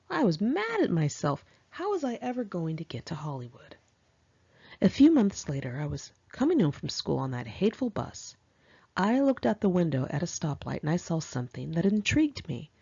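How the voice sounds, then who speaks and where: Female memoir narrator, American, lively swooping intonation, confiding and dramatic, on a slightly dull lo-fi home recording. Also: fairly low pitch, vocal fry, slightly rough, dry recording. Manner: expressive, confiding, girlish slang. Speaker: author reading own memoir. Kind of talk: first-person audiobook narration, conversational and dramatic.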